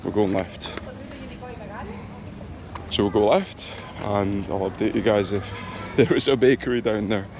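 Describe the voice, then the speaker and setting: A young man with a low, gravelly voice talks casually to the camera while he walks. He thinks out loud and fills gaps with ums. Loud street traffic and town bustle come through the action camera recording. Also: unhurried, long pauses. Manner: chatty, thinking aloud with many ums. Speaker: travel vlogger. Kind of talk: casual vlog chat while walking. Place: outdoor town streets and a bakery. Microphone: action camera mic. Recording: noisy outdoor street recording. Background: street traffic and town ambience.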